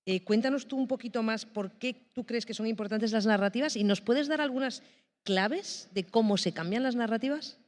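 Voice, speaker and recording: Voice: mid-range voice, normal speed. A moderator on a summit panel, hesitant, with fillers and self-corrections.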